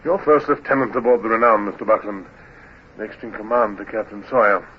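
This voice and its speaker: An actor playing a cautious, evasive ship's surgeon in an old radio drama, speaking in a guarded, deliberate manner. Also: male voice, on the low side, natural voice. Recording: dull, noisy old radio recording.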